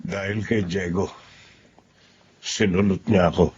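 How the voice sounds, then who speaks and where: A man's voice: sits low, steady tone, unhurried, a little mellow, breathy edge, highs cut off. A man acting an earnest, polite, downhearted engineer husband in a Filipino radio drama, with a Filipino accent. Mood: sad, betrayed.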